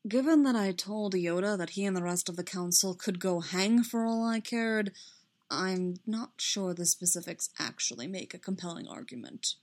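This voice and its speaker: Female reader as reserved male mentor figure in story narration, soft-spoken, dry, formal and understated. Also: medium pitch, close to an everyday voice. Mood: dry, measured. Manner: quiet, measured, formal, understated. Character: restrained, dry, self-reproaching man, quiet loyal friend.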